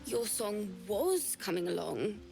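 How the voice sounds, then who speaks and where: A woman's voice: medium pitch, sing-song, slow, vocal fry, over music, exaggerated voice. A woman voicing an earnest, admiring young bard in a fantasy game, in a natural conversational way. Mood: inspired, admiring.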